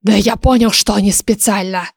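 A woman's voice: mid-range voice, steady tone, normal speed, vocal fry, breathy edge, no room sound, clean studio sound. A woman voicing a grumpy, blunt old male oracle in a fantasy audiobook, grumbling in colloquial speech. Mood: gruff, dismissive. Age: older. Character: grumpy ancient male oracle, telepathic voice from stone egg.

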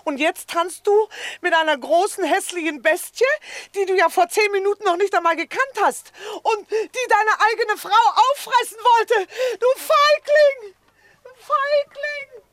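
A woman's voice: very high voice, a little slow, fairly bright tone, no echo. An actress in a radio play playing a jealous wife, scolding her husband in a wailing, reproachful way. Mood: jealous, tearful outrage. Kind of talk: theatrical radio play acting.

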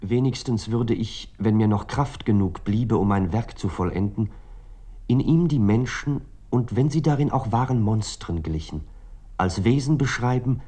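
An actor playing a reflective male writer, speaking a formal, measured literary monologue for a radio drama. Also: lowish voice, warm tone, lightly acted. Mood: contemplative. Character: reflective male writer musing on his work. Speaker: actor reading the novelist-narrator's words.

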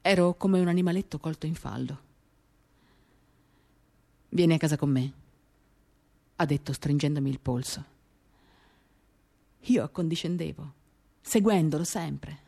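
A woman giving a measured, intimate dramatic reading of first-person literary narration in short, paused phrases, accompanied by acoustic guitar. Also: deep voice, vocal fry, mellow. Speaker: reader of a novel.

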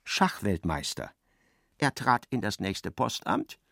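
An actor narrating a literary radio play, an expressive and ironic storyteller. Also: male voice, on the low side, takes it slowly, creaky, no room sound, clean studio sound, exaggerated voice. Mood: wry narration. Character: wry literary narrator of a novel, male.

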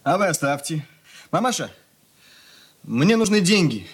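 An actor playing a sullen, desperate, rebellious schoolboy in a radio drama, curt and brooding in manner. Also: male voice, on the high side, big pitch swings, measured pace, husky, full character voice. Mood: sullen defiance. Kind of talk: Russian radio drama acting.

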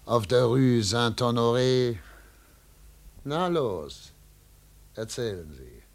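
Slow, gravelly, sardonic interrogator. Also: male voice, mid-range voice, expressive. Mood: sardonic, probing. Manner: deliberate, sardonic. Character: sardonic, probing male interviewer questioning a former official. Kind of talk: German radio play acting.

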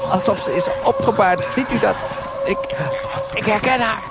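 Anguished, high-pitched male detective. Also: gravelly, exaggerated voice. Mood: panicked. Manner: halting, repeating words, breaking into cries. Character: anguished male detective, rambling in a fevered dream. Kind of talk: live radio noir drama acting. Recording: live stage with loud music.

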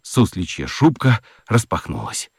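A man narrating a children's radio play as a dramatic storyteller, with expressive, deliberate literary phrasing. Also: deep voice, gravelly. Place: dry studio.

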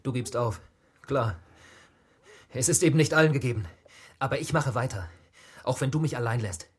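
An actor playing a bold, stubborn young petty thief in a radio drama, terse and conspiratorial. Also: male voice, medium pitch, normal speed, husky, close to an everyday voice. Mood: stubborn, disappointed.

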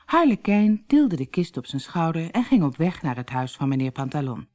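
Low, very animated woman storyteller. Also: moderate pace. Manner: expressive, dramatic. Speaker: audiobook narrator of fairy tales. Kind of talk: scripted storytelling narration.

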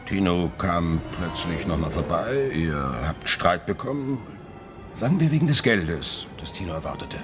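An actor playing a cool, insistent detective in a German radio crime drama, making accusations in a dry, pointed, sardonic manner. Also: male voice, low voice, lively intonation, normal speed, music in the background. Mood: coldly accusing.

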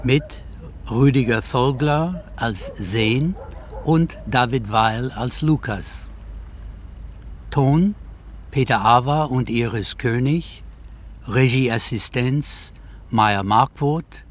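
Very slow-paced male radio announcer. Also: medium pitch. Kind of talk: scripted credits announcement. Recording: faint background ambience noise.

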